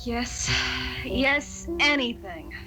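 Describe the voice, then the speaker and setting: A woman's voice: a little high, lively intonation, drawn-out words, poor audio. A woman playing a fragile, hesitant young patient who fears madness in a 1970s radio drama. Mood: anxious. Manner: hesitant, distressed. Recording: live 1970s radio recording, music behind.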